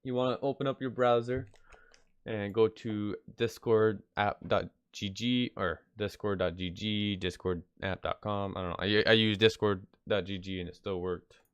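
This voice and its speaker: Deep-voiced, stuffed-up man giving a casual tutorial. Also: steady tone, normal speed, clear, resonant tone, no echo, dull audio. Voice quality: slightly nasal from congestion. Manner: hesitant, rambling, offhand. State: sick with stuffy nose. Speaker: video tutorial host. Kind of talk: casual unscripted software tutorial. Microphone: close mic, screen-recording voice-over.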